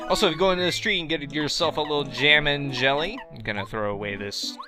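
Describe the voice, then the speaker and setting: A man's voice: fairly high pitch, quick pace. A young man casually commenting on a video game in a rambling, joking, self-mocking way, over game music. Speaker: let's play host.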